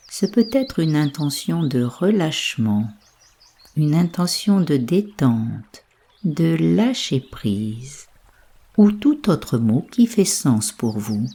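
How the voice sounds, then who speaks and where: A woman with a soft, breathy voice leading a guided sleep meditation in a hushed, soothing, unhurried way. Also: deep voice. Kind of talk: slow guided yoga nidra sleep meditation.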